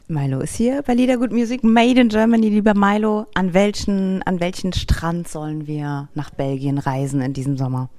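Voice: medium pitch, moderate pace, hardly any pauses, a little mellow. An interview host in a casual chat, asking questions and encouraging the guest with short affirmations.

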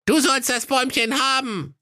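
Squeaky grumpy evil dwarf. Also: male voice, normal speed, sharp tone, dry recording, studio quality. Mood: sly. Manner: grumpy and scheming. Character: grumpy sly evil little male dwarf. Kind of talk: comic fairy-tale reading.

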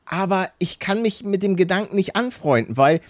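A man's voice: high voice, lively intonation, moderate pace, no echo. A man in his forties co-hosting a podcast, joking casually with many restarts and laughs. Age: 40s. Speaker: podcast co-host.

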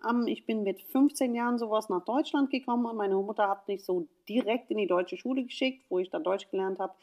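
A woman from the USA, a gynecologist speaking as a guest in a casual conversation. She is lively, laughs often and talks with many fillers. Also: medium pitch, a little fast. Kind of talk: casual podcast chat.

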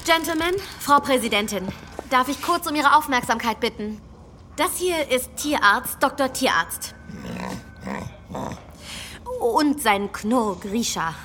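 A woman's voice: sits high, expressive, slow pace, pauses often, pushed and bright, soft background music, full character voice. An actress playing an urgent, businesslike woman at a crisis command in a comedic sci-fi audio drama. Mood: formal, businesslike.

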